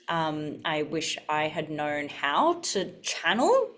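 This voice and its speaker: A woman speaking as a panelist in a discussion, reflective and thoughtful, pausing with fillers. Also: deep voice, sing-song, fairly bright tone.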